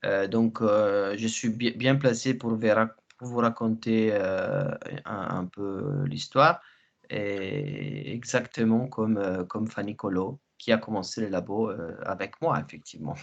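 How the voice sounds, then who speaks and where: A man's voice: on the low side, lively intonation, gravelly. A man in his thirties with an Italian accent, a researcher presenting informally and hesitantly on a video call. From Italy. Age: 30s. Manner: hesitant, halting, repeats words. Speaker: researcher, team leader presenting. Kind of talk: informal online science presentation. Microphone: video call microphone. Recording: muffled video-call audio.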